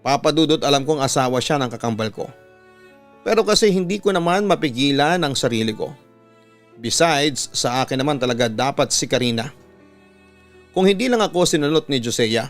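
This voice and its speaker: A man's voice: mid-range voice, expressive, a little fast. A Filipino man, a radio announcer, reading out a confessional letter as a dramatic storyteller. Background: background music.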